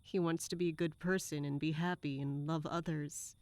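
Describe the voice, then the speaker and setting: A woman playing a weary, bitter guard who grew up on the streets, slow, downcast and self-reproaching, in an audio drama. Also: deep voice, fairly even intonation, darkish tone, no echo, natural voice. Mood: bitter, miserable.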